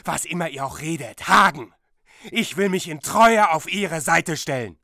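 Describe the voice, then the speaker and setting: A man's voice: fairly high pitch, expressive, moderate pace, gravelly, noisy background. An actor playing a loyal lord who argues fervently for the queen.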